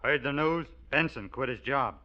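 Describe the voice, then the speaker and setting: Guy acting a cagey plant gossip, mid-pitched piercing voice, 1940s radio, dull sound. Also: lively intonation, normal speed, natural voice. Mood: gossipy. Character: gossipy, cagey factory workmate, man. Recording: muffled 1940s radio recording.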